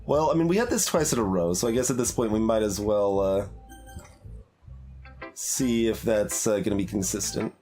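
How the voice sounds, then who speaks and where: A man giving casual, halting playthrough commentary as a game streamer, thinking aloud in an analytical, musing way. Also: fairly low pitch, dark tone, faint music behind.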